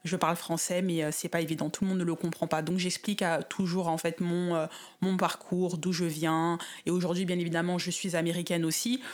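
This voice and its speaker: A woman from the Paris suburbs in her thirties, talking casually as an interview guest with frequent pauses and restarts. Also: fairly low pitch, level tone. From France, Paris suburbs. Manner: thoughtful, many fillers and restarts.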